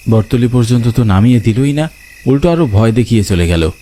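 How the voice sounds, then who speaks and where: Dark-voiced man narrating horror. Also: mid-range voice, brisk. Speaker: story narrator. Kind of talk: dramatic first-person horror narration.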